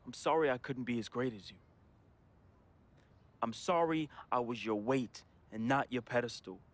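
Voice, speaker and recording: A man's voice: mid-range voice, moderate pace, natural voice. An actor playing a troubled young man in a game, reading a confessional farewell letter as a heartfelt voice-over with casual slang. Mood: somber. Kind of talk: emotional voice-over monologue. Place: studio voice-over.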